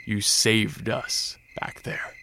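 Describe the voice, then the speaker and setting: Radio drama vampire count: weary, protective, unhurried delivery with a gravelly edge, faint noise behind. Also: male voice, lowish voice, flat delivery, sharp tone, heavily acted. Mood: gentle, reassuring. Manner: measured, halting, understated.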